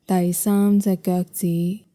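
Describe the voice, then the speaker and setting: A woman with a Hong Kong Cantonese accent guides a yoga nidra meditation in a soft, measured, soothing way, leaving long pauses. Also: on the low side, flat delivery.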